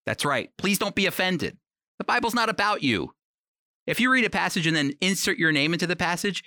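A man's voice: on the high side, pushed and bright, no echo, studio quality. A man giving a conversational Bible teaching for a program, speaking emphatically and directly to the listener with rhetorical questions. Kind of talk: conversational teaching sermon, prepared radio talk.

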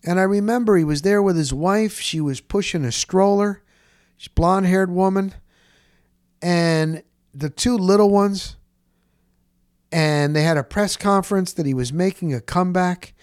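A man with a slightly high-pitched voice talks sports like a host swapping stories. His delivery is casual and rambling, with an animated, exclamatory streak. The sound is very dry, with no room around the voice. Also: moderate pace, smooth, dry recording.

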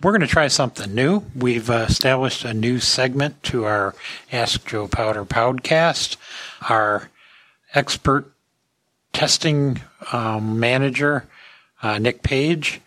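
A man's voice: mid-range voice, slow, a bit bright. A man hosting a podcast with casual industry commentary, speaking to listeners in a folksy way. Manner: folksy, addressing listeners as friends.

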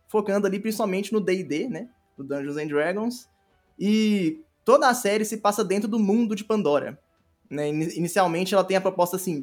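A Brazilian man, a podcast guest explaining his creative work in an informal, halting way. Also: sits high. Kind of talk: casual interview chat. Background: faint music.

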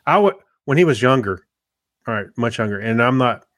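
A man's voice: medium pitch, lively intonation, brisk, fairly bright tone, no room sound, studio quality. A middle-aged man chatting casually as a podcast co-host. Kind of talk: casual chat.

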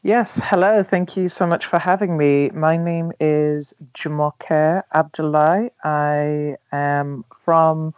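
A woman's voice: deep voice, steady tone, slow pace, hardly any pauses, darkish tone, dry recording. Woman in her 30s, London accent, hesitant interview answers, dry muffled sound. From Nigeria. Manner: hesitant, many ums, thoughtful. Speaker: guest, travel blogger. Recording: dull dry recording.

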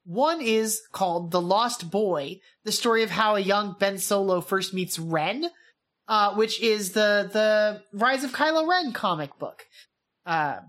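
A young woman co-hosting a podcast, chatting casually in a quick, animated way with lots of asides. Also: medium pitch. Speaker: podcast co-host. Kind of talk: casual chat.

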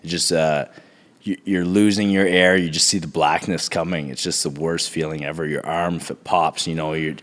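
A man co-hosting a podcast in casual chat, his voice raspy from a sore throat, rambling in a laid-back way. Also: extremely low pitch, steady tone, moderate pace, a bit bright, long reverb tail. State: sore throat from being choked. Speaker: podcast co-host.

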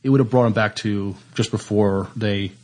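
A man co-hosting a podcast, chatting casually and haltingly, often repeating words as he thinks aloud. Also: fairly low pitch, fairly even intonation, darkish tone. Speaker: podcast co-host. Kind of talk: casual panel chat.

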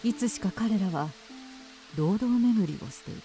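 A woman's voice: medium pitch, expressive, a little slow, loud music behind the voice. A woman reading scripted literary narration for a radio drama.

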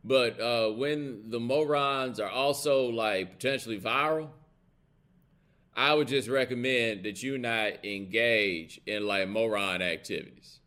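American guy hosting a live show, mid pitched, blunt n slangy, leans on his points, keeps checking you get him. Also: measured pace, fairly bright tone. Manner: blunt, colloquial, emphatic, checks in with listeners. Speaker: host of a live commentary show. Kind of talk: casual opinionated livestream commentary.

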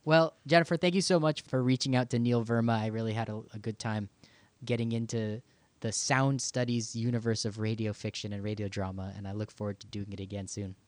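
A man's voice: on the low side, steady tone, a little fast, no echo. A man hosting a podcast in casual conversation, thinking aloud and often doubling back on his words. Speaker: podcast host and audio producer.

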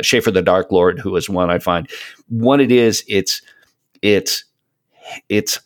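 A man's voice: sits low, smooth. A man chatting as a podcast guest, explaining things in an enthusiastic, rambling way. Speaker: podcast guest, voice of an audio show. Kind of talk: casual conversational interview.

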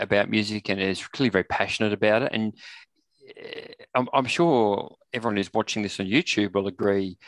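A man's voice: fairly low pitch, a bit bright, no echo. A man speaking as a podcast co-host in casual, reflective chat.

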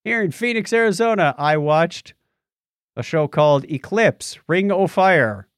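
A man's voice: sits high, lively intonation, a little slow, no room sound, studio quality. An American man co-hosting a podcast, chatting casually and explaining things in an anecdotal, conversational way. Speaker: podcast host.

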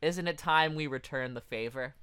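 A man's voice: fairly high pitch, moderate pace, fairly bright tone, smooth. A man co-hosting a comedy podcast, talking in informal, joking patter. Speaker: podcast co-host. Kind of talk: casual comedic banter, mock ad read.

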